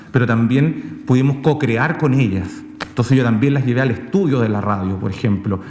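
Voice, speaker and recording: Guy presenting at a talk, kinda hesitant n halting, big echoey hall, normal pitch voice. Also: darkish tone. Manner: hesitant, halting, checking with the audience. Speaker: presenter, sound documentary maker. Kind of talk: informal conference presentation. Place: reverberant conference room. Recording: echoey hall with long reverb.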